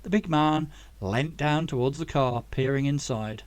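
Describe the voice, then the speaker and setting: A man's voice: medium pitch, measured pace, no room sound. A man reading a story aloud as scripted audiobook narration, as the author of the book.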